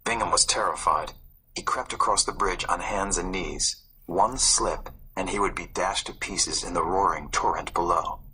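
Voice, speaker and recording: A man reading a story aloud as a narrator, in a suspenseful, expressive way. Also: low-pitched, moderate pace, breathy edge, low-quality recording. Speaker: audiobook narrator. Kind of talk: dramatic scripted storybook narration.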